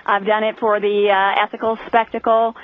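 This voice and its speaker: Casting: woman candidate, slightly hoarse, earnest, dry tinny phone sound. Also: medium pitch, level tone, moderate pace, dry recording. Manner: earnest, many uh fillers. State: hoarse from a cough. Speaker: political candidate guest. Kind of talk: phone-in radio interview.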